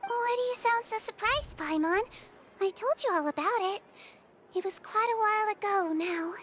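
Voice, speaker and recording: A woman voicing a small, kindly young nurse in a video game, speaking in a gentle, polite, earnest way. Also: extremely high pitch, normal speed, a little hiss or hum. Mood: matter-of-fact. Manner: gentle, polite, matter-of-fact.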